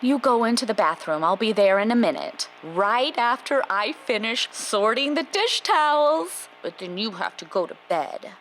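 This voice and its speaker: Woman playing a high-pitched, sing-song, fussing housewife mother. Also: moderate pace, hardly any pauses, poor audio. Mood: sweet, fussing. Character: chirpy, fussing, oblivious housewife mother, eerily cheerful. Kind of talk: stylized horror drama acting. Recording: steady background noise and hum.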